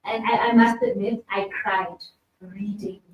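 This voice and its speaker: A woman's voice: medium pitch, steady tone, unhurried, highs cut off. A woman facilitating a panel discussion, speaking in an earnest, reflective and emphatic way. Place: event hall. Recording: echoey room, distant mic, faint hum.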